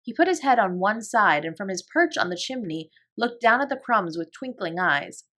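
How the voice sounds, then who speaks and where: A woman narrating a classic children's novel as a bedtime story, in a calm, gentle, measured voice. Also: medium pitch. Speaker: audiobook reader.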